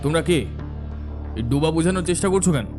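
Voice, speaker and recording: A man's voice: on the high side, animated, quick pace, music in the background, natural voice. A man playing a stern, indignant villager making challenges in a cartoon. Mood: indignant, challenging. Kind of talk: cartoon voice acting.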